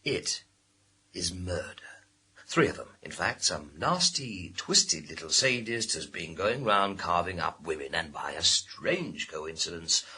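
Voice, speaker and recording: Sardonic, bullying police inspector, swooping delivery. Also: male voice, sits low, sing-song, unhurried, bright, forceful tone, breathy edge, exaggerated voice. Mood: stern, pressing. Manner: sarcastic, hectoring, theatrical. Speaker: police detective. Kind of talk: radio crime drama acting.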